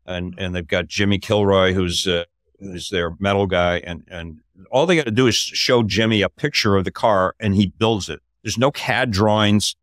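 An older man, a podcast host, telling a story in casual talk over a video call from a home office, his voice climbing when he gets excited. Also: sits low, moderate pace, no room sound, studio quality.

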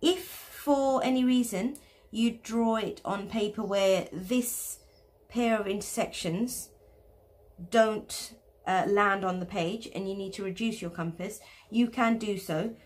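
A woman's voice: mid-range voice, slow pace. Chatty woman teaching a drawing tutorial. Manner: chatty, self-deprecating asides. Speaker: art teacher.

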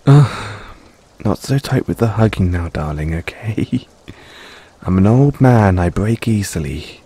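Man acting a slow-talking, tender middle-aged dad. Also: lowish voice, animated, breathy edge, full character voice. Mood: teasing, fond. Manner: hesitant, tender, gently teasing. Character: affectionate, self-doubting middle-aged dad in love. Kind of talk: intimate audio roleplay acting. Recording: rain-like ambience noise behind.

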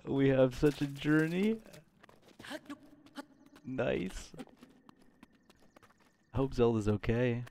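A man's voice: medium pitch, expressive, very slow, pauses often, dark tone. A man giving casual live video game commentary in short, easily awed reactions. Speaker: let's play commentator. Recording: clear game ambience noise behind. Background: video game ambience.

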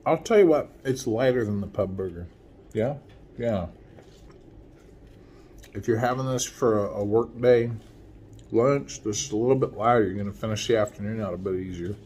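A man in his forties chats his way through a food review at home, his voice mellow and a little low. He talks easily between bites, recorded on a phone held close with a faint hiss behind him. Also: moderate pace, pauses often. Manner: relaxed, chatty, talks while eating. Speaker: food vlogger. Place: small room at home, window blinds. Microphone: phone camera at arm's length.

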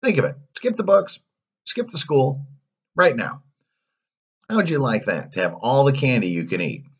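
A man's voice: fairly high pitch, wildly swooping pitch, unhurried, slightly rough, voice pushed up, full character voice. A man voicing a slick, pushy coachman in a storybook, tempting children with coaxing salesman-like patter. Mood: coaxing, enticing. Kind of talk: storybook villain voice.

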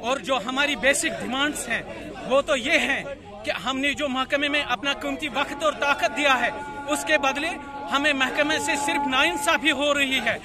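A man, making a formal, deliberate, emphatic statement to reporters as a workers' spokesman. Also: very high-pitched. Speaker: sericulture department worker, protest spokesman. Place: open sports ground. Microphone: handheld news microphones held close. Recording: outdoor crowd noise.

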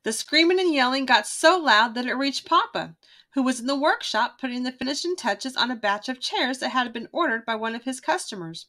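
A woman with a bright, fairly high voice reads a children's storybook. Her delivery is expressive and emphatic, with very lively pitch. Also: a little fast. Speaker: read-aloud narrator.

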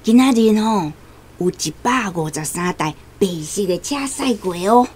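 A woman's voice: medium pitch, expressive, a little slow, a little mellow, slightly rough. A woman playing a simple, stubborn elderly grandmother with a Taiwanese accent in a radio drama, muttering to herself. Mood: proud, reporting.

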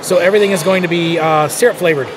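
A man giving a relaxed, chatty food review while he eats in a buffet restaurant. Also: fairly high pitch, fast talker, background noise. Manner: relaxed, chatty, thinks aloud between bites. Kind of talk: casual on-camera food review. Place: busy casino buffet restaurant indoors. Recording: loud crowd chatter and clatter around. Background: loud diners and dish clatter.

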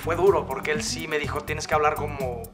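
A man's voice: a little high, fairly even intonation, a little fast, over music. A Mexican man in his thirties, an actor being interviewed on a sofa indoors, talking earnestly with many eh and este fillers. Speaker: actor guest. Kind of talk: casual interview. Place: indoor lounge on a leather sofa.

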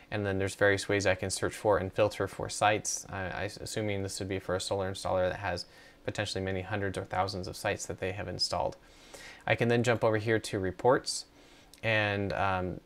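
Low-voiced man, casual walkthrough. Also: fairly bright tone, clear, resonant tone. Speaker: tutorial presenter. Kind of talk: casual screen walkthrough narration.

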